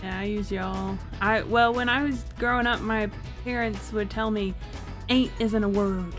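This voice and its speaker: A woman's voice: medium pitch, steady tone, measured pace, over music. A woman with a faint Southern US accent, chatting casually in a playful, self-conscious way. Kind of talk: casual chat.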